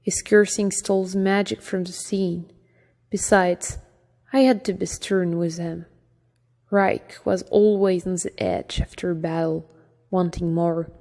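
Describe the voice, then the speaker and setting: A woman with a strong French accent reading a fantasy novel aloud as an amateur audiobook reader, slowly and carefully, sometimes stumbling. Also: fairly low pitch, steady tone, gravelly, warm tone. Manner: slow and careful, with some stumbles. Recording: long hall reverb.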